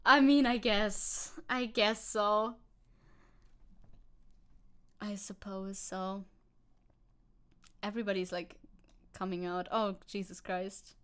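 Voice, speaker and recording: A woman's voice: mid-range voice, fairly even intonation, slow, long pauses, a bit bright, clean tone. A young woman VTuber streamer chatting casually and sarcastically, reading news and chat aloud, swearing and laughing.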